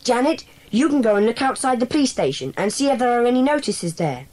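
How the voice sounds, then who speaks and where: Commanding schoolboy gang leader played by a woman, high husky voice, brisk, for children's audio drama. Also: lively intonation, a little mellow. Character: bossy, sensible schoolboy leader of a secret club.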